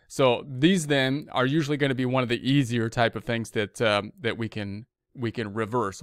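A man's voice: fairly low pitch, fairly bright tone, clear, resonant tone, dry recording, studio quality. An American man giving an informal explanatory lecture as an instructor, talking conversationally and often rephrasing himself. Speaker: accounting instructor.

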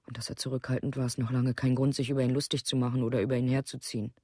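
An actor playing a grieving, protective parent in a radio play, speaking in plain short sentences. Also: male voice, medium pitch, little pitch movement, rapid-fire, darkish tone, dry recording, clean studio sound, lightly acted. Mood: defensive. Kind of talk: documentary radio play monologue.

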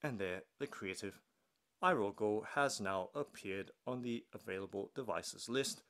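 A man's voice: lowish voice, a little slow, fairly bright tone. A man giving a casual, unscripted product demonstration as a reviewer, talking through each step as he does it. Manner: narrates own actions step by step. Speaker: tech unboxing reviewer. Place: indoors at a wooden table.

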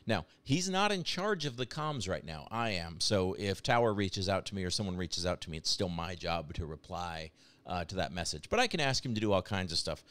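A man with a low, smooth voice walks through a software demo. He talks casually and explains each step conversationally, as in a screen-share tutorial. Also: lively intonation, a little fast.

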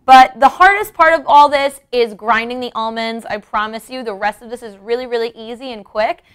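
A woman's voice: on the high side, a little fast, a bit bright, smooth. A young woman presenting a cooking lesson in a conversational way, explaining each step directly to the viewer. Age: young adult. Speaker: cooking show host. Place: home-style kitchen, indoors.

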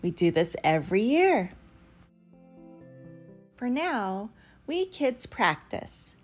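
A woman's voice: mid-range voice, sing-song, takes it slowly. A woman reading a picture book aloud expressively for young children, as a storyteller. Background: background music.

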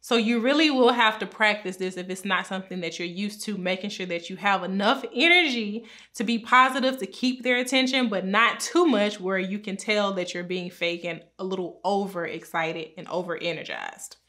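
A woman in her thirties, a pharmacist, giving upbeat, clear career advice straight to camera from a small room at home. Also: mid-range voice, moderate pace, pushed and bright. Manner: upbeat, clear, instructive, talks with her hands. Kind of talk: direct-to-camera advice talk. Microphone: camera framed at chest height.

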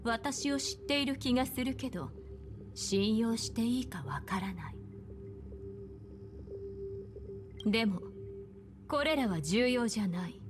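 A woman voicing a calm, enigmatic, seductive woman in an anime game, speaking slowly and soothingly with gentle command. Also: fairly high pitch, natural voice. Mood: calm, hypnotic. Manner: slow, deliberate, soothing. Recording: background music.

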